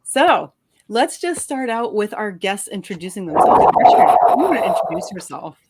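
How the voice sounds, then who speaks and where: A woman's voice: medium pitch, big pitch swings, normal speed, very noisy. A woman hosting a live video show, upbeat and chatty, introducing guests and laughing often. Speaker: host.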